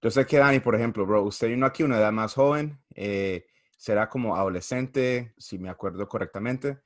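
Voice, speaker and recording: A man's voice: fairly low pitch, a little fast. A man hosting a casual interview show, mixing English phrases into his Spanish. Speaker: talk show host.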